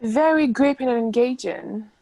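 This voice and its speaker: A woman's voice: on the high side, normal speed, darkish tone, no echo. A woman playing an upbeat, pushy film producer in a table read. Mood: eager.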